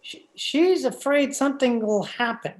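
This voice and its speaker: A woman's voice: sits high, expressive, unhurried. A woman playing a timid, henpecked husband who stammers and hesitates, in old time radio horror style. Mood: nervous, pleading. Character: timid, henpecked, frightened husband, a meek little man. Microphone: video call.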